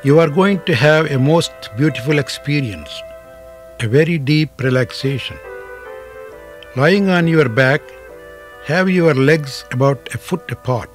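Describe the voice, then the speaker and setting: A man narrating a guided relaxation as a yoga teacher, in measured, deliberate phrases with frequent pauses. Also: fairly high pitch, soft background music.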